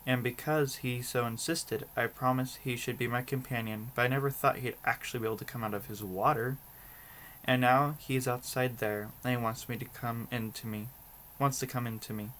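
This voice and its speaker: A man's voice: mid-range voice, steady tone, normal speed, fairly bright tone, lightly acted. Man reading as an anxious young princess, noisy recording. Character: frightened, reluctant young princess confiding in her father. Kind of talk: storybook read-aloud.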